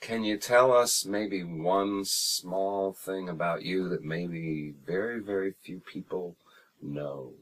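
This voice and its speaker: A man's voice: low voice, slow pace, fairly bright tone. A male interviewer in a recording studio asking questions in a conversational way, giving frequent encouraging affirmations. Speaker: interviewer, musician.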